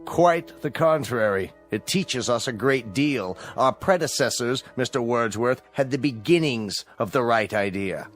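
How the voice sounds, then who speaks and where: A man's voice: medium pitch, lively intonation, a little slow, few pauses, soft background music, lightly acted. Coldly smug, menacing state chancellor played by a man. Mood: smug, doctrinaire. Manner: formal, condescending, mockingly polite, menacing. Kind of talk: radio drama acting.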